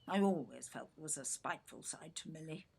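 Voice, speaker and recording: A woman's voice: low-pitched, fairly quick, creaky, breathy edge, full character voice. An actress playing an older English mother in an audio play, indignant and brusque, condescending as she defends her son. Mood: scornful. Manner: clipped, brusque, condescending, calls listener "dear".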